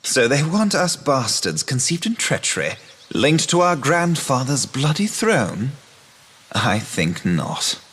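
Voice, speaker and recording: An actor playing a bitter, proud young outcast brother in a fantasy game, scornful and dramatic with archaic phrasing. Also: male voice, mid-range voice, big pitch swings, slow, fairly bright tone, husky, heavily acted.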